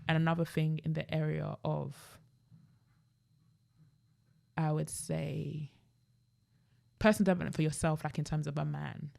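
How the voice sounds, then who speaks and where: A woman's voice: deep voice, flat delivery, a little slow, vocal fry, pauses often, darkish tone. A young British woman with a London accent chatting casually and emphatically as a podcast host. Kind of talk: casual chat.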